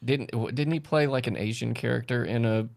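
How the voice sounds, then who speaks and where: Gravelly man in casual comedy banter. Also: medium pitch, brisk, no echo, clean studio sound. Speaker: comedy show co-host.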